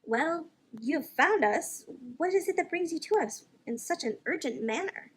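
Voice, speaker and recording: A woman's voice: very high voice, lively intonation, normal speed, slight background noise, pitched above the performer's own voice, poor audio. A woman voicing an anxious but tender veiled governess in a storybook, with formal, courtly phrasing. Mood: anxious, demanding. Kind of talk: audiobook character dialogue.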